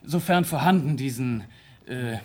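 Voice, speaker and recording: An actor in a German radio play playing a polite, formal cellist who speaks with hesitant courtesy. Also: male voice, medium pitch, a little slow, natural voice. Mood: hesitant, courteous. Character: polite, hesitant cellist, grieving son, unworldly with technology.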